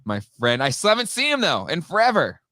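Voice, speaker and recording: A man's voice: fairly high pitch, wildly swooping pitch, fast talker, pushed and bright, no echo, studio quality. A man in his thirties or forties co-hosting a live toy show, slightly nasal from a cold, chatty and upbeat with many fillers. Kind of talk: casual live-stream chat.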